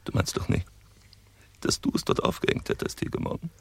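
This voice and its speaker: An actor playing a calm, gently defiant male prisoner and philosopher in a radio drama, with a gravelly, breathy voice. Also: brisk, natural voice. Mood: quietly challenging.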